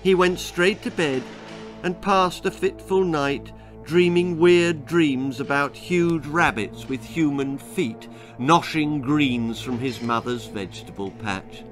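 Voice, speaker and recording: A man's voice: fairly high pitch, slow pace, faint music behind. A British man in his sixties reading a children's story aloud with dramatic narration. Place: small indoor room lined with bookshelves.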